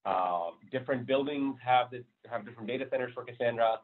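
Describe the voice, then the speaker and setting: A man's voice: medium pitch, quick pace, narrow, tinny audio. Rambling man over thin call audio. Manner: loose, rambling, heavy on fillers. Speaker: meetup presenter. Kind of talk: casual technical presentation. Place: home room on a video call.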